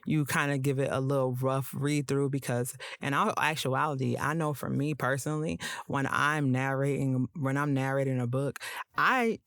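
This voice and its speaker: Breathy woman with a slight lisp. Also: extremely low pitch, moderate pace, no room sound, studio quality. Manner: calm, soothing delivery. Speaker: podcast host and audiobook narrator. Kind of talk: casual interview chat.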